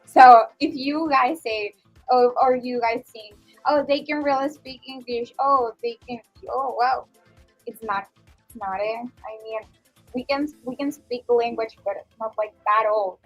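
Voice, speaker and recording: Earnest high-voiced woman co-host. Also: unhurried, poor audio. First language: Spanish. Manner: earnest, encouraging, repeats "I mean". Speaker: student co-host. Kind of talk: casual radio chat. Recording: school radio studio with music bed.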